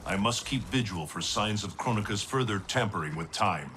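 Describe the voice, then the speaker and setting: Lowish, solemn, wise guardian god. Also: male voice, expressive, sharp tone, a little hiss or hum. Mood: solemn, counseling. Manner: formal, stately, deliberate.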